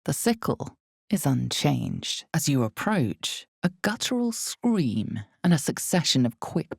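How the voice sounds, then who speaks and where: Ominous, knowing female narrator for a dark fantasy game: heavy vocal fry, mellow and dark tone, theatrical delivery, close and intimate. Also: extremely low pitch, dry recording, heavily acted. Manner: deliberate, theatrical, intimate storytelling. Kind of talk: dramatic scripted fantasy narration.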